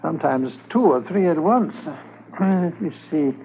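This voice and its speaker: An actor playing a polite, hesitant, uneasy druggist in a 1950s radio drama. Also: male voice, a little high, expressive. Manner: hesitant, halting. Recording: muffled, faintly noisy 1950s radio transcription.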